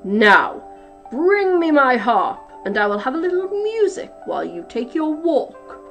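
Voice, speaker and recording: Woman putting on a booming, menacing giant. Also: big pitch swings, a little slow, a bit bright, dull audio, soft background music, exaggerated voice. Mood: bossy, demanding. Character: huge roaring male giant, greedy, menacing, bossy.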